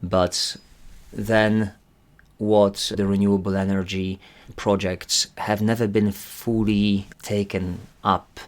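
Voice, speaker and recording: Polish-accented man, very deep and flat. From Poland. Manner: measured, earnest, slightly formal phrasing. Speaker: guest, climate recruitment director. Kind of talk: interview answers.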